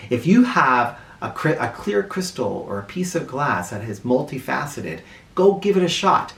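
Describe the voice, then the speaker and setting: A man hosting a children's read-aloud, narrating a storybook in an animated style. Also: mid-range voice, moderate pace, gravelly. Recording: echoey room, distant mic.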